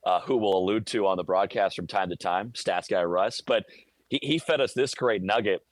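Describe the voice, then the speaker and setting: Lower-voiced American play-by-play man breaking down hockey. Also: no echo. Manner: conversational, detailed, stammers over word starts. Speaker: radio play-by-play broadcaster, guest analyst. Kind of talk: live sports radio analysis.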